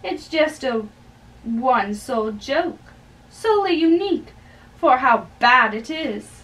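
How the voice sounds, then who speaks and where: A young woman giving an amateur dramatic reading of a play, halting and stumbling over words, recorded by a distant camera in a bedroom. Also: high voice, expressive, a bit bright. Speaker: amateur reader. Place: small bedroom, indoors. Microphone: far camera. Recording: distant microphone in a room.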